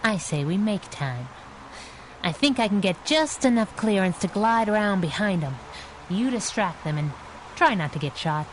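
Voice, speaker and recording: A woman's voice: mid-range voice, animated, normal speed, a little hiss or hum, lightly acted, poor audio. A woman playing a plucky superhero sidekick, sassy, slangy and wry, in 1930s radio adventure style. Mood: alert, urgent.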